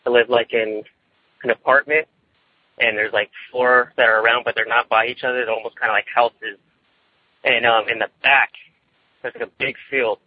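Halting young man on tinny phone. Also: mid-range voice, steady tone, breathy edge. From California, United States. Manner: nervous, halting and repetitive. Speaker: first-time phone-in caller telling a personal story. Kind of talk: casual rambling personal storytelling. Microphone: phone line. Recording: tinny, boxy phone call.

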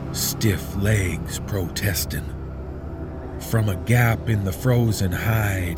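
A man narrating a horror story in a hushed, low, drawn-out delivery, over eerie music.

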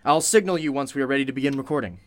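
An actress playing a breezy, apologetic, flattering radio studio worker in a radio play. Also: female voice, a bass voice, very fast. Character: busy, deferential radio engineer addressing a producer. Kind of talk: radio drama acting.

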